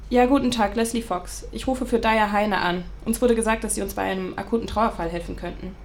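Practical young best friend, played by an actress, mid-pitched, casual and matter-of-fact, radio play with audible background noise. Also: female voice, brisk, lightly acted. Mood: businesslike. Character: pragmatic, sceptical young best friend, supportive and proactive. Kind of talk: naturalistic audio drama dialogue. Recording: clear background ambience noise.

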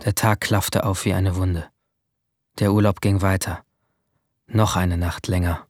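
A man's voice: low-pitched, flat delivery, darkish tone, slight background noise. An actor playing an anxious, guilt-ridden seventeen-year-old boy, narrating his story introspectively in an audio drama. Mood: wistful. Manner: introspective, halting in dialogue. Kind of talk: first-person narration in a German audio drama. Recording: music under the voice.

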